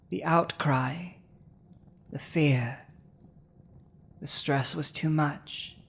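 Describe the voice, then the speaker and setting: A woman plays a commanding female dragon in an intimate audio drama. The voice is very low for a woman and unhurried, with weighty pauses that give each phrase a dramatic, imposing gravity. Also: slightly rough, full character voice. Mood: somber, quiet. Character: villainous commanding female dragon, intense yet softening to compassion. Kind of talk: dramatic ASMR audio roleplay.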